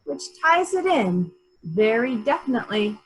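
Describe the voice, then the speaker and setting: A woman playing a brisk, authoritative police inspector, questioning in a measured, probing way in a murder mystery reading. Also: a little high, big pitch swings, low-quality recording. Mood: pointed.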